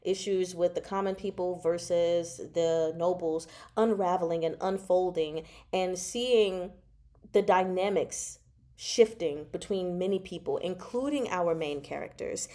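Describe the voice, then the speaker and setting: A woman hosting a casual book discussion, chatty and expressive, often pausing mid-thought. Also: lowish voice. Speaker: book discussion vlog host. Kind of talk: casual live book chat.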